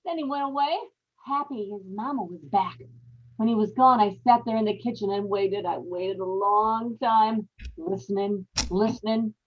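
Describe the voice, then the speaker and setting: A woman's voice: medium pitch, natural voice. A woman playing a guilty, unhinged wife giving an intense, dramatic confession in an old-time radio horror play. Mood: tense dread. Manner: intense, emphatic, repetitive storytelling with dramatic pauses. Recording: dull lo-fi live radio-play audio.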